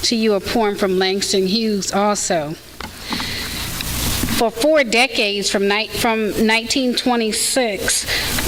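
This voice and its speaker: A woman reciting a poem aloud at a university read-in, slow and measured. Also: on the high side, a bit bright, slight background noise. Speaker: student reader at a read-in. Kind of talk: poetry reading. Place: university center event room. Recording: long hall reverb, faint ambience.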